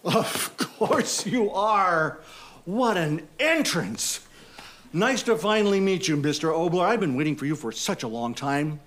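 Man playing a high-voiced, goofy office worker. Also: animated, unhurried, a bit bright, a little hiss or hum. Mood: sheepish. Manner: flustered, wisecracking. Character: goofy, whimsical, eager young male office worker. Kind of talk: audio comedy acting.

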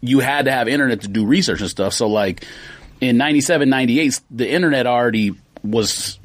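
A man's voice: medium pitch, fairly quick, no echo. Rambling man in his forties, dry sound. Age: 40s. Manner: rambling, with many restarts and fillers. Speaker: podcast host. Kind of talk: casual chat.

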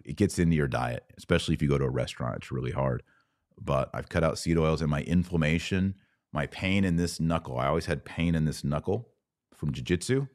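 A man's voice: a bass voice, a little mellow, dry recording, studio quality. A man speaking as a podcast host in an informal, emphatic, slangy way, chatting casually and answering live comments. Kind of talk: casual livestream chat answering viewer comments.

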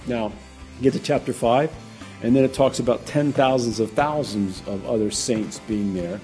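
A male pastor teaching verse by verse in a casual, animated way, with frequent restarts and asides to the congregation. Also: mid-range voice, moderate pace, soft background music. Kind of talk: conversational verse-by-verse Bible teaching.

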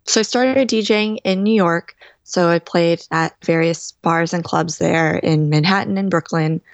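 A woman in her thirties, a DJ, answering interview questions in a measured, explanatory way. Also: on the low side, no room sound, highs cut off. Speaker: DJ and guest.

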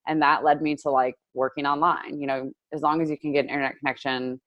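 A young woman from Indiana with a notably low voice talks in an easy, chatty way. She fills her sentences with filler words and laughs often. The sound is dry and close, with no room echo. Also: flat delivery, quick pace, muffled recording. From United States, Indiana. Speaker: guest, digital marketer. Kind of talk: casual interview chat.

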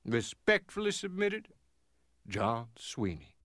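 Dignified Union sergeant, swooping oratory. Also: male voice, mid-range voice, big pitch swings, slow pace, a bit bright, no room sound, clean studio sound. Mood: pleading, dignified. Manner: oratorical, formal, impassioned. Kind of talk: dramatic reading of a formal letter.

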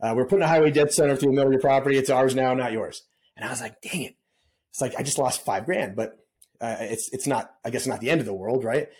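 A man's voice: medium pitch, fast talker, darkish tone. A middle-aged man telling stories as a podcast guest in a casual, laid-back way, often saying dude and man. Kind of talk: casual storytelling interview.

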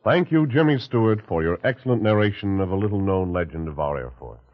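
Lively, deep-voiced American vintage radio announcer man. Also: fast, loud music behind the voice. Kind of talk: 1940s radio announcing, scripted. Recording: dull 1940s radio recording.

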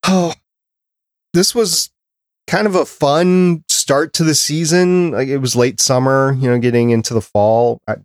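A man's voice: medium pitch, steady tone, a little slow, no echo. Guy hosting a podcast, casual review talk, asks questions, super dry audio. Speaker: podcast host. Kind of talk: casual panel discussion, reviewing a cartoon.

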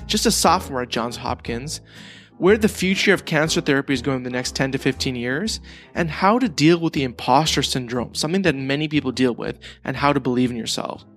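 A man hosting a podcast, reading a scripted introduction and then asking questions in a relaxed, hesitant way. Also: a little high. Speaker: podcast host. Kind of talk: conversational podcast interview.

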